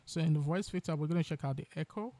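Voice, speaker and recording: A man hosting a software tutorial, explaining each step as he narrates. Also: a little high, quick pace, no room sound. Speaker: tutorial host.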